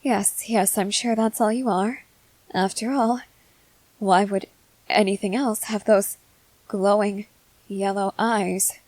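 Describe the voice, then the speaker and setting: A woman plays a proud schoolgirl cornered by a monster in an audio roleplay. She talks slowly at a middle pitch, in formal, wordy phrases that catch and repeat with nerves, yet stays defiant. The sound is very dry. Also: steady tone, a little mellow, dry recording. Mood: uneasy disbelief. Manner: formal wordy phrasing, hesitant stammers and repetitions. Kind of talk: scripted ASMR roleplay, addressing the listener.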